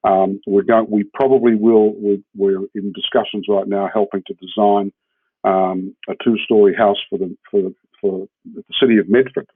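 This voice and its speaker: A man's voice: fairly low pitch, flat delivery, normal speed, husky, dry recording. A man with a New Zealand accent explaining his work informally as an interview guest, often checking in with the listener. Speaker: podcast guest, hemp builder. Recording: muffled, phone-like narrowband line.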